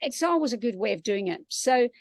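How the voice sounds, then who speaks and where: Higher-voiced Scottish woman author, chatting. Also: lively intonation, a little fast, no echo, studio quality. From Scotland. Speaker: guest author. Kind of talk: casual panel talk. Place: outdoors.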